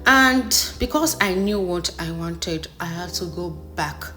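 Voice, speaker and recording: A woman's voice: lowish voice, animated, a little slow, a bit bright. A woman vlogger, a wig maker, telling her personal story in a voice-over. She speaks earnestly and encouragingly and repeats phrases for emphasis.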